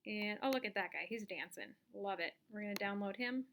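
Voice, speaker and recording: A woman giving a casual step-by-step software tutorial, thinking aloud as she demonstrates each action. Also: medium pitch, brisk, a little hiss or hum. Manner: informal, instructional, thinking aloud while demonstrating. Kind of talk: casual step-by-step screen-recorded lesson.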